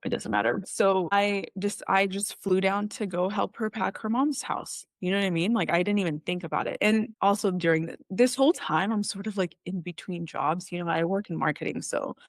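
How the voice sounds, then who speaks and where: A woman's voice: mid-range voice, brisk, hardly any pauses, dry recording. A woman in her thirties from Israel with no accent, telling personal stories as a guest in a casual interview, filling her speech with "like" and "you know" and acting out what she said to people. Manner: chatty, heavy use of "like" and "you know", quoting herself.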